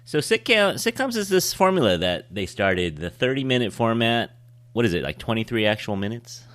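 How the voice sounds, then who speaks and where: A man hosting a podcast in a casual, teasing, animated way. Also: mid-range voice, moderate pace, no room sound. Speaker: podcast host.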